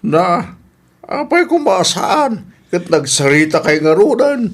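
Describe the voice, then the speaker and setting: Cautious, nagging elderly Filipino husband and father, acted by a man, highly varied intonation, radio drama. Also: very animated, slow, heavily acted. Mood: concerned, reproving. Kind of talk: Ilocano radio drama acting.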